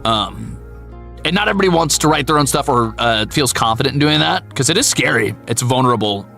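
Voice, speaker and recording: A man streams casually over soft background music. His voice is bright and forceful with plenty of gravelly fry. He talks candidly and playfully, swearing and mocking himself as he answers viewers. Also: medium pitch, animated, fairly quick. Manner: candid, playful, profane, self-deprecating. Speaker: VTuber streamer and voice actor.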